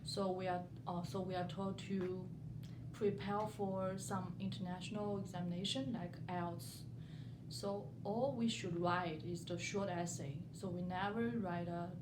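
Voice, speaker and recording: A young woman from southern China with a Chinese accent, a non-native speaker giving informal interview answers as a student, haltingly and repeating words as she searches for phrasing. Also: fairly low pitch, fairly even intonation. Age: young adult. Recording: echoey room, noticeable background noise.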